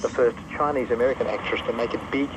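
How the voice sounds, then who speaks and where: A man's voice: mid-range voice, steady tone, fast. A man with an Australian accent hosting a radio program in a casual, chatty style. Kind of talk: casual radio chat and sign-off. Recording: very noisy shortwave radio recorded outdoors.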